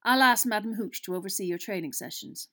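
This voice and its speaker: A woman's voice: medium pitch, pushed and bright. Woman acting stern, grave schoolmistress. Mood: measured concern. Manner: grave, deliberate. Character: stern, serious female schoolteacher with measured authority. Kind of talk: audiobook character voice.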